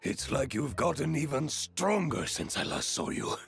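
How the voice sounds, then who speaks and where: A man playing a good-humoured, laid-back seasoned adventurer in a video game, with a gravelly voice. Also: mid-range voice, big pitch swings, moderate pace, fairly bright tone, faint music behind, heavily acted. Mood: rueful, amused.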